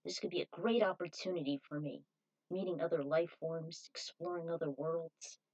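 A woman voicing a confident, easygoing male warrior in a story reading, measured and assured. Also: deep voice, steady tone, a little mellow, lo-fi sound. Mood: confident, relaxed.

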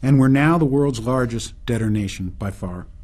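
A man's voice: mid-range voice, normal speed, darkish tone, natural voice. A man playing an earnest, indignant political dissenter in a radio drama, explaining things pointedly.

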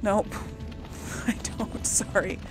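A woman casually commenting on her gameplay, musing aloud and talking to herself. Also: music in the background. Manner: slow, musing, talks to herself. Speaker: gameplay host. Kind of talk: casual let's play commentary.

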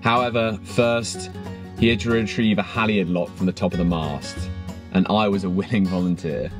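A man narrating a sailing vlog in calm, scripted voice-over. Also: deep voice, moderate pace. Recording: voice-over with guitar music behind.

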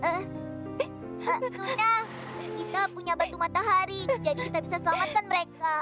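Earnest, very high-voiced little boy bus. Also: normal speed. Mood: earnest, relieved. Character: young male talking blue bus, earnest, loyal, brave friend. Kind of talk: children's animated cartoon dub. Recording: music behind the voice.

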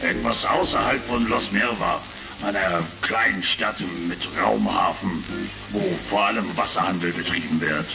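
Pleading small-fry space pirate, echoey. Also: male voice, lowish voice, normal speed, music in the background. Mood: anxious, pleading. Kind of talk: retro sci-fi audio drama acting. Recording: echoey room, vintage record crackle.

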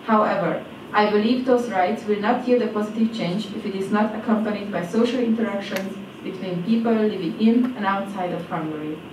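A young woman from Transylvania reading a prepared speech from cards at a podium microphone on an auditorium stage, with her phrases falling in pitch at their ends. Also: fairly quick, far from the mic. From Transylvania, Romania. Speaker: speaker at a presentation event. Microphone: stand mic at a podium. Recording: echoey hall, voice from off-mic, audible noise.

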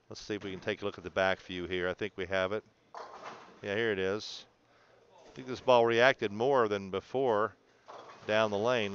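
A man's voice: on the low side, fairly bright tone, smooth, lo-fi sound. A man coaching a student through video analysis in an encouraging, explanatory way, often checking in. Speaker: bowling coach.

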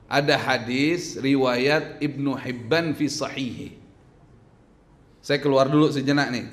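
A man preaching a sermon in an emphatic, colloquial way, moving between conversational asides and loud declamation. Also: on the high side. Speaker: Islamic preacher. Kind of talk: sermon with Arabic quotations. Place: indoor mosque lecture room. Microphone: gooseneck microphone on the desk.

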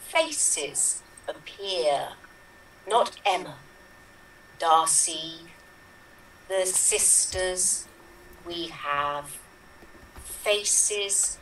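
A woman plays in an online improv game. She speaks very slowly, in a measured way, with a slightly low voice. Her webcam picks up a roomy echo from some distance. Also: a bit bright, a little hiss or hum. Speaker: improv player. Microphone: webcam on a video call.

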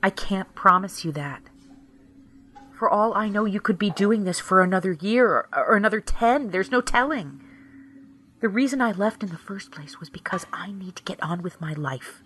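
A woman playing a weary, caring but guarded ex in an intimate audio drama, soft and hesitant. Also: mid-range voice, lively intonation, moderate pace, natural voice. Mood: sad, resigned.

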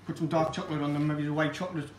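A man's voice: fairly high pitch, flat delivery, rapid-fire, far from the mic, noisy background. A man explaining a recipe as he mixes, practical and matter-of-fact. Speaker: guest baker. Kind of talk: explaining a recipe while working. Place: large home-style kitchen. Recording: echoey kitchen from off-mic.

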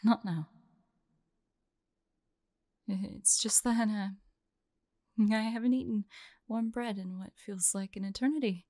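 Woman acting as a slow, hesitant, forlorn young huntress. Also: mid-range voice, no room sound, clean studio sound, lightly acted. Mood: tearful, flustered. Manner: hesitant, soft-spoken, halting, often drifting into whispers. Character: forlorn young woman hunter, tearful, vulnerable, guarded, affectionate. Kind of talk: intimate audio roleplay drama.

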